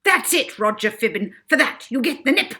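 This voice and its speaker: Lady doing a bossy toothless old orphanage woman for a kids' storybook, swoopy and high, fawning or snappish. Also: expressive, moderate pace, bright, forceful tone. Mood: furious, snappish. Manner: gushing to her favourite, snappish with others. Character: fawning, bad-tempered toothless older woman running an orphanage.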